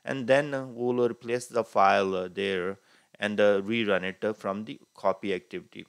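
A man teaching a screen-recorded software tutorial, talking unhurriedly with frequent "uh" fillers as he clicks through each step. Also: fairly low pitch, steady tone, normal speed, gravelly, clean tone, no room sound. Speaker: tutorial instructor. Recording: faint hiss behind voice.

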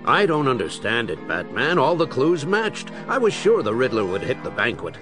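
A man portrays a concerned police commissioner in a superhero audio drama. He is earnest and puzzled, with a mid-pitched, animated delivery over music. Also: normal speed, lightly acted. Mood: baffled. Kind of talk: full-cast audio drama acting.

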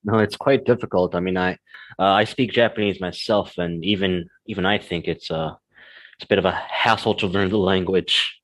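A man's voice: sits low, normal speed, poor audio. A young American man co-hosting a language lesson podcast, chatting casually with plenty of fillers. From United States. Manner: relaxed, chatty, many fillers. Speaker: co-host.

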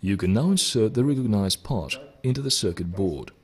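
A middle-aged man giving formal, deliberate scripted instructional narration as a presenter of technical videos. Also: lowish voice, expressive. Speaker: presenter of electronics kit videos.

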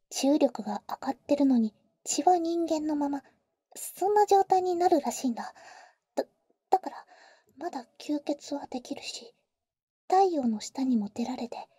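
A woman's voice: high voice, no room sound, studio quality. A woman acting a whispering, doting vampire big sister. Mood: hushed, explaining. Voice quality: soft, breathy, mostly whispered. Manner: slow, tender, teasing, boyish casual phrasing. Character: doting, teasing older-sister female vampire. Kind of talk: intimate Japanese roleplay ASMR. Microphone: close, dry ASMR microphone.

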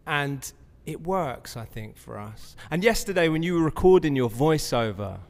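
A man's voice: on the high side, lively intonation, normal speed. Casual male host in a reverberant studio. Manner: casual, filler-heavy questions. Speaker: podcast host. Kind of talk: casual interview chat. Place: large bright studio, indoors. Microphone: stand mic.

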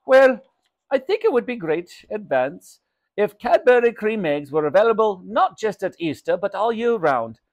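A man voicing an effusive, excitable male shopkeeper in a children's storybook. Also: sits high, normal speed, muffled recording, pitched above the performer's own voice. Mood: excitable, emphatic. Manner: effusive, exclaiming.

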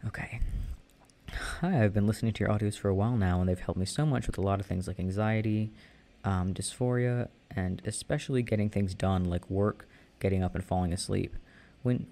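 A young man answering listener questions in a casual, soft-spoken, hesitant way, with many fillers and restarts. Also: fairly low pitch, gravelly. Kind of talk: casual Q&A chat.

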